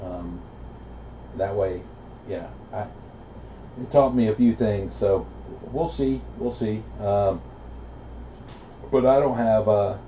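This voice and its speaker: A middle-aged American man chatting casually over CB radio in a laid-back, halting way. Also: lowish voice, dark tone. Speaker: amateur radio operator. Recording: echoey, muffled, noisy CB radio reception.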